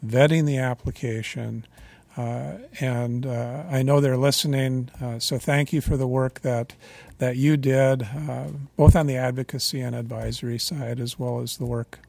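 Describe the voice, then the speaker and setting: A man speaking as a utility regulator at a public meeting, measured and formal, with frequent fillers and restarts. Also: mid-range voice, fairly even intonation, vocal fry, darkish tone, dry recording.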